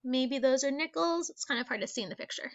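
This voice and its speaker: A woman's voice: sits high, quick pace, highs cut off. A woman teacher reading aloud a lesson for young children in an instructional manner, explaining things step by step. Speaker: elementary school teacher.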